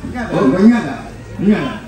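A man's voice: high-pitched, sing-song, fast talker. A man, a band frontman reminiscing with the crowd and introducing his bandmates on stage. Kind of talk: live concert stage talk. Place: outdoor mall courtyard stage. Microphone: stage microphone through PA. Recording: echoey live PA with music. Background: music and crowd.

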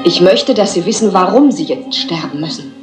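A woman's voice: fairly low pitch, expressive, normal speed, close to an everyday voice. An actress playing a desperate, vengeful young woman in a crime drama, intense and accusing. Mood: accusing, grieving. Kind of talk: German-dubbed crime film acting. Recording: echoey, music behind voice.

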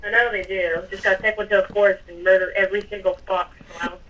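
A woman's voice: mid-range voice, flat delivery, fast, fairly bright tone, distant mic, rough recording. A young woman playing a character in a game roleplay, chatting playfully and rambling. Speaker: roleplay player. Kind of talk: casual in-character roleplay chat.

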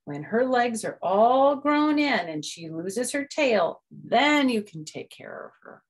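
A woman's voice: a little high, sing-song, slow pace, pitched above the performer's own voice, full character voice, poor audio. A woman voicing a patient but firm mother frog in a storybook, giving her child instructions.

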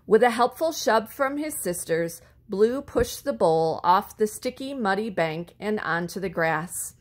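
A woman reading a children's story aloud expressively, with deliberate phrasing and words drawn out for effect. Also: medium pitch, lively intonation. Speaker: storybook reader.

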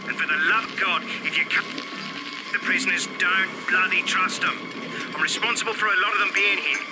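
An actor playing an anxious, urgent insider warning survivors in a video game, speaking bluntly. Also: male voice, sits high, steady tone, a little fast, over loud music, natural voice, poor audio. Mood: urgent, wary. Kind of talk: video game cutscene acting.

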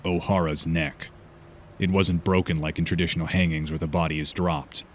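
Man acting as a grim vigilante, bottom-deep voice, terse, guarded, comic drama, faint noise. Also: flat delivery, natural voice. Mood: grim, analytical. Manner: solemn, measured, terse. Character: brooding masked male vigilante detective, grave and guarded. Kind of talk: comic book fan dub, dramatic dialogue and monologue.

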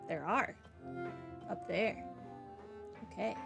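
A woman's voice: mid-range voice, slow, creaky, music in the background. A woman streaming a game with casual, offhand commentary, half talking to herself.

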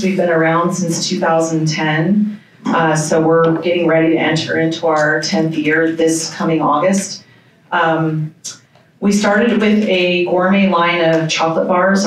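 A woman in her forties, a company executive on a panel, speaking in a steady, businesslike way into a handheld mic. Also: low-pitched, slight background noise. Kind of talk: panel self-introduction. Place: conference room panel. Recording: very echoey room, distant pickup.

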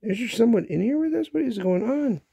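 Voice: medium pitch, expressive, a little fast, creaky, dark tone, husky, no echo, studio quality. A pet owner talking casually to a dog, coaxing and repeating questions in baby talk, recorded on a handheld phone in a small bedroom. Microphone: handheld phone held near the speaker.

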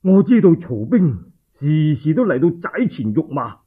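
Proud male war commander, played by a man storyteller, Cantonese, declamatory, animated, husky, for radio drama. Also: fairly high pitch, measured pace, narrow, tinny audio, full character voice. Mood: reproachful. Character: proud, fiery, wounded male young army commander.

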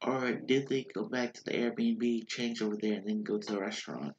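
A man's voice: on the low side, steady tone, normal speed, muffled recording, slight background noise. A man in his thirties with a stuffy, congested nose, chatting casually through a rambling recap in a small bedroom on a webcam, with lots of fillers and restarts. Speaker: vlogger recapping a reality show. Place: small bedroom with posters on the wall. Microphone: webcam at desk.

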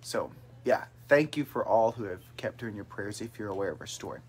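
A man's voice: lowish voice, lively intonation, brisk, a little hiss or hum. Upbeat young man reading viewer comments. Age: 20s. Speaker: host of a family livestream chat. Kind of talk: casual chat. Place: small bedroom with brothers on a couch. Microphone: phone camera held at arm's length.

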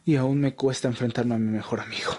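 A man's voice: medium pitch, steady tone, quick pace, darkish tone, natural voice. A man playing a wounded, weary vampire rebel in an amateur audio roleplay, sounding pained. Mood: weary, urgent. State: pained, groaning.

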